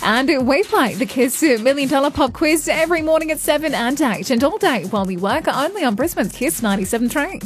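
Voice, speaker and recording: A woman reading a brisk news and weather bulletin on radio. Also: high voice, expressive. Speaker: radio newsreader.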